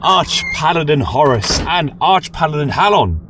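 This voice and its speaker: A man's voice: a little high, big pitch swings, background noise, pitched above the performer's own voice. A man voicing a devout preacher in a fantasy audio drama, flustered and stammering as he pleads for his faith. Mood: nervous reverence. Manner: stammering, agitated, halting.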